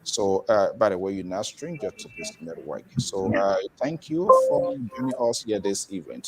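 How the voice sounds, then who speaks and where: A man's voice: on the low side, normal speed, creaky, loud music behind the voice, rough recording. Guy hosting a panel show, voice swoops up and down a lot, keeps restarting. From Liberia. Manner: rambling, animated delivery with restarts. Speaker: talk show host.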